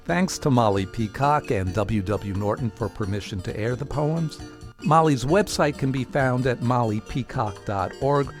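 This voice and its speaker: Man, radio presenter, mid-pitched voice, scripted announcement, soft music behind. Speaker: radio presenter giving closing credits. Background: faint music.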